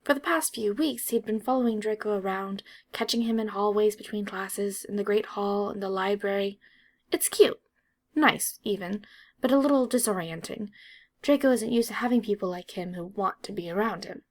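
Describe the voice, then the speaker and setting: A woman reading a story as an audiobook narrator, in an expressive storytelling style. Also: mid-range voice, normal speed.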